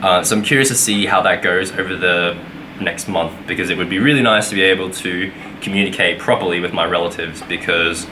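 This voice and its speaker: Low-voiced man, casual vlog. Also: steady tone, brisk, noisy background. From Australia. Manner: rambling, filler-heavy, self-correcting. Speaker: vlogger. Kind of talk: casual weekly vlog update.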